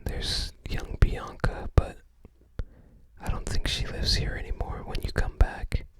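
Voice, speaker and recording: Whispered ASMR, close-mic, hesitant, rambling, short unhurried phrases. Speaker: ASMR creator. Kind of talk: whispered ASMR book browsing.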